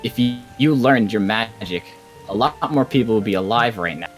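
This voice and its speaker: A man's voice: fairly low pitch, expressive, normal speed, soft background music, lightly acted. A man playing a menacing, scornful rival mage who taunts and dismisses others in a fantasy game roleplay. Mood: accusing. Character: menacing, scornful male rival guild mage, sneering bully. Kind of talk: improvised Minecraft fantasy roleplay.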